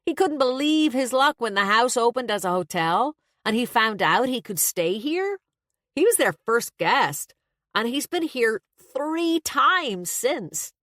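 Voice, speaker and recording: Woman acting an easygoing young man, lifted pitch. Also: big pitch swings, a little slow, fairly bright tone, dry recording, clean studio sound. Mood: informative. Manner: casual, conversational.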